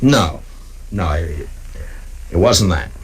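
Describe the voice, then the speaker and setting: Deep, slow-talking western marshal. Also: male voice, lively intonation, slightly rough, full character voice. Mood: brooding, stubborn. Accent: American. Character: laconic, protective frontier US Marshal, tough but tender. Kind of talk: 1950s radio western acting. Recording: noisy old radio recording.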